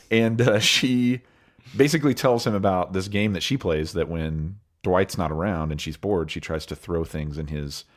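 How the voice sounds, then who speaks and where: Very deep-voiced man, getting over illness. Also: a little slow. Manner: laid-back, loose storyteller with fillers. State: recovering from illness, deeper voice. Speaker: podcast co-host. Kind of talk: casual chat recapping episodes.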